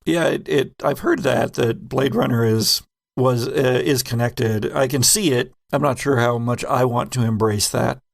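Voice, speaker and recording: A man's voice: mid-range voice, measured pace, vocal fry, no room sound. A man hosting a podcast, chatting casually and explaining things in a rambling, digressive way. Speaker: podcast host and game master. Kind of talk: casual retrospective chat.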